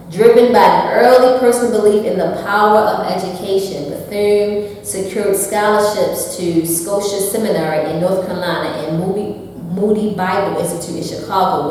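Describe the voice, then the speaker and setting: A woman's voice: medium pitch, darkish tone, slight background noise. A woman reading a prepared history report aloud, with occasional stumbles and restarts. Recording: echoey hall from far off.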